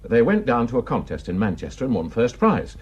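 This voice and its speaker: A man's voice: medium pitch, wildly swooping pitch, fairly quick, breathy edge, lo-fi sound. An actor playing a gossipy, plain-spoken village man with a Northern English accent, telling indignant tales in an emphatic, colloquial way in a radio drama. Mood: eager gossip. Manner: garrulous, emphatic, colloquial storytelling.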